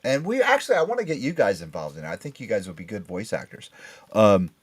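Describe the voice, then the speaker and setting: A man's voice: fairly low pitch, big pitch swings, quick pace, breathy edge. A man hosting a casual pop culture chat show, talking in an enthusiastic, emphatic, rambling way. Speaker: podcast and radio show host.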